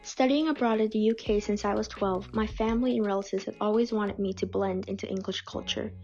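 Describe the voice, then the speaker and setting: A woman reading out submitted personal stories in a calm, measured way. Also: mid-range voice. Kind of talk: scripted reading. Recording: dull lo-fi audio with faint music.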